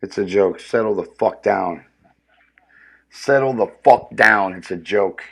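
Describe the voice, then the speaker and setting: A man's voice: lowish voice, a little slow, vocal fry, a bit bright, slightly rough. A man with a powerful voice, ranting casually and profanely with lots of restarts during a comedic livestream. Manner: profane, rambling, restarts often.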